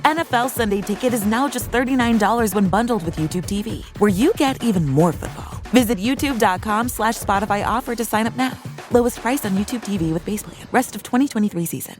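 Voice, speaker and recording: Woman reading a scripted advertisement. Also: fairly high pitch. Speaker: advertisement announcer. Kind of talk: scripted ad read.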